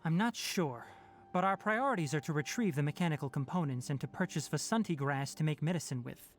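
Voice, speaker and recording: An actor voicing a young fox-eared forest ranger in a video game, a blunt but caring mentor who talks in a measured, matter-of-fact way. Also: medium pitch, animated, slight background noise, lightly acted. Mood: firm, instructive. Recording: game music behind the voice.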